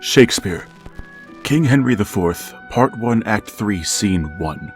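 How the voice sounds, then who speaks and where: An actor playing a lonely, embittered widowed father who speaks in a measured, formal, brooding way. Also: on the low side, animated, soft background music. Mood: grave. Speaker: narrator of the story. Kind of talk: 1940s radio drama narration.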